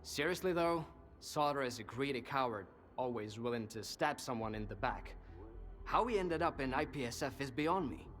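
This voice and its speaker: A man's voice: mid-range voice, lively intonation, moderate pace, a bit bright, soft background music, natural voice. An actor playing a cynical, worldly male informant in a video game, talkative and sardonic, with an insinuating conversational manner. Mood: sly, probing. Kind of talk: video game dialogue acting.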